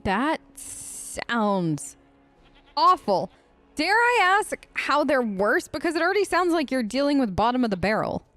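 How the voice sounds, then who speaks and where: A woman's voice: high voice, very animated, a little slow, a bit bright, no room sound. A woman doing role-play gaming commentary, reading aloud and musing in a conversational way. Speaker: let's player who role-plays her character.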